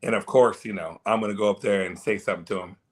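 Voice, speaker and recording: A man guesting in a casual interview, hoarse and tired, rambling and repeating words. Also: lowish voice, steady tone, fast talker. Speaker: guest, event organizer.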